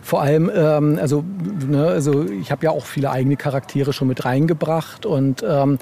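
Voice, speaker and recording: A man's voice: a little high, steady tone, normal speed, vocal fry, mellow, a little hiss or hum. A man speaking as a novelist in a casual podcast interview, explaining in a rambling way with frequent tag questions. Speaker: novel author being interviewed.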